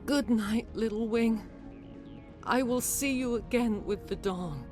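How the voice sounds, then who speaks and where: Tormented dying matriarch: grave, unhurried, faltering delivery, dignified, video game drama, faint score behind. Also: female voice, on the high side, a little mellow, soft background music. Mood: dying, resigned.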